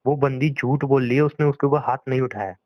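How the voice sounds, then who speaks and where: A man's voice: medium pitch, fairly even intonation, fast, dry recording, dull audio. A young man arguing in a private phone conversation, insistent and pleading, often repeating himself. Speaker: boyfriend on a phone call.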